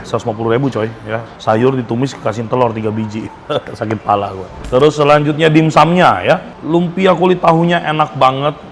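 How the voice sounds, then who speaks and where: A man with a Jakarta Indonesian accent gives a casual, enthusiastic food review, speaking slangily and mixing in English phrases. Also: medium pitch. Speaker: food vlogger. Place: restaurant. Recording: clear background noise, restaurant ambience.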